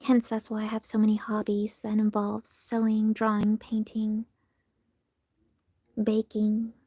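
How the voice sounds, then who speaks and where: Breathy half-whispering woman streamer. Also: medium pitch, completely flat delivery, unhurried, no room sound. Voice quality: soft, often half-whispered, very feminine voice. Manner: chatty and candid, talks while working. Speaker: artist live-streaming while drawing. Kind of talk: casual livestream chat answering viewers. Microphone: phone.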